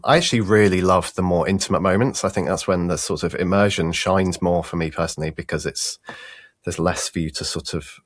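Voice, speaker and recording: A man talking casually as a sound designer in an interview, in halting, loose phrases. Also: low-pitched, no echo, studio quality.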